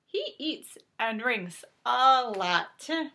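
High-pitched, animated woman reading a storybook. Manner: expressive, deliberate, drawn-out emphasis. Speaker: teacher reading aloud to children. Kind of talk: animated children's picture book read-aloud. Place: small home bedroom, indoors.

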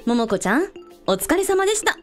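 A woman's voice: sits high, big pitch swings, brisk, music in the background. A woman playing a confident, caring hotel owner in a radio drama, casually authoritative with everyone. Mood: reassuring. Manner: casually authoritative, blunt with staff. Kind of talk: scripted radio drama acting.

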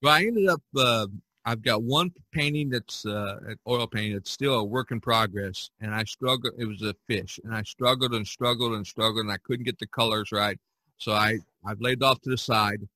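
A man's voice: lowish voice, normal speed, pushed and bright, no room sound. A man hosting a casual podcast, talking in a rambling, folksy way and repeating himself. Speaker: podcast host.

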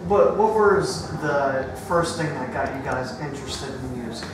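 A man's voice: mid-range voice, measured pace. Male interviewer, eager questioner, recorded far off with heavy room noise. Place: backstage lounge of a music venue. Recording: echoey room from far off, noisy.

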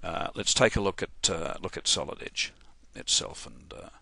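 Halting Australian man, bright dry tone. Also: sits low, big pitch swings, vocal fry, no room sound. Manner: casual, halting, many fillers and restarts. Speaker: software trainer. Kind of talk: screen-recorded software tutorial.

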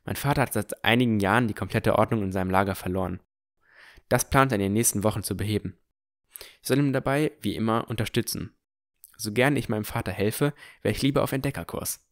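A man playing an earnest, curious boy who narrates a fantasy audio drama in a measured, descriptive way. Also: lowish voice, dry recording, clean studio sound, natural voice. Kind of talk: scripted first-person audio drama narration.

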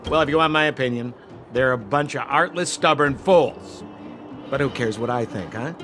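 A man's voice: medium pitch, moderate pace, music in the background, natural voice. A man voicing a shifty, glib young con man in a video game, theatrical and full of exaggerated salesman patter. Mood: persuasive, glib.

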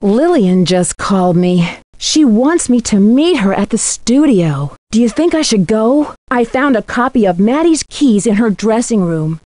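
A woman voicing a bright, inquisitive teenage girl detective in a video game, with an American accent and clear, earnest, conversational delivery. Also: fairly high pitch, very animated, measured pace, dry recording. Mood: earnest, intrigued. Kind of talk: scripted video game voice acting.

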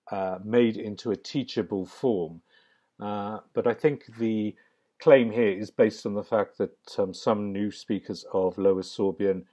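A man delivers a slide lecture in a low, mellow voice. He speaks in a measured, academic way and often pauses with an um. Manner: measured, academic, with frequent ums. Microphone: voice-over on slides.

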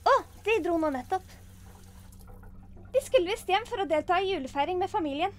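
A woman's voice: extremely high pitch, expressive, slight background noise. A woman voicing a devoted, cheerful young dragon maid in an anime fan dub. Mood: attentive.

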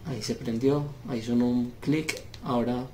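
A man with a mid-pitched voice talks through a practical demonstration. He goes step by step, pausing often as he works. The recording is made in a room, with clear noise and small clicks behind him. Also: steady tone, darkish tone. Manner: halting, step-by-step phrasing with pauses. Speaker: seller demonstrating referee intercom gear. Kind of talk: hands-on tutorial, unscripted explanation. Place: small indoor room at a table.

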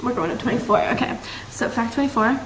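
A teenage girl from Massachusetts chatting to her webcam in a bright room, rambling and bubbly with lots of fillers. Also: a little high, fast. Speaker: vlogger. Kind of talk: casual chat to camera. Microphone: built-in camera mic, close webcam framing. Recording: roomy echo, faint background hiss.

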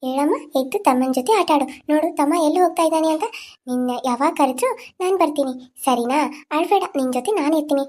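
Tender ghost mother, played by a woman: high, soothing, coaxing. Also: fast talker, darkish tone, close to an everyday voice. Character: gentle ghost of a young mother.

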